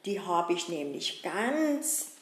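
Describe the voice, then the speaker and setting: A woman's voice: fairly low pitch, very animated, slow, full character voice. A woman voicing a greedy, good-natured puppet boy who chats away fussily and makes excuses. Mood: chatty. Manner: chatty, fussy, self-excusing. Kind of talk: puppet-show acting. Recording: roomy echo.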